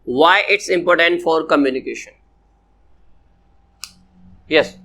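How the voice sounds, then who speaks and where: 男性教师，印度英语口音，在教室里讲课，声音偏高. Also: male voice, fairly high pitch, sharp tone. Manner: slow, repetitive, often asks "Clear?". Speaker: personality development teacher. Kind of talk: classroom lecture to students.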